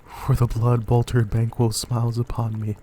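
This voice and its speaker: A man's voice: mid-range voice, monotone, moderate pace, dark tone, slight background noise, lightly acted. A man playing a tormented, imperious and ruthless king, declaiming weightily in a dramatic Shakespeare reading. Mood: horrified.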